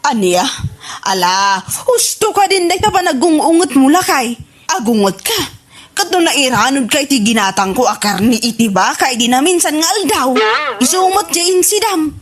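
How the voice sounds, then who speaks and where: A woman's voice: high-pitched, big pitch swings, moderate pace. A woman with an Ilocano accent playing a brash, scolding auntie in a comedy radio drama, argumentative and exclamatory. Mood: scornful, puzzled.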